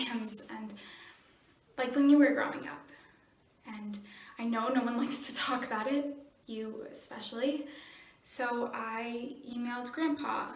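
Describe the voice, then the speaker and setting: A young American woman playing an earnest, halting teenage daughter pleading with her mother in a dramatic monologue. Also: fairly high pitch, close to an everyday voice. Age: teenager or young adult. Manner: halting, earnest, nervous laughs. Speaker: student actor. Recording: roomy echo, distant microphone.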